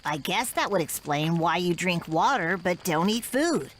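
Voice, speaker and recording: A woman's voice: lowish voice, animated, a little slow, fairly bright tone, slight background noise. A woman voicing a cheerful, naive, fearless young boy hero in an anime video game, with a guileless casual manner. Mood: curious, easygoing.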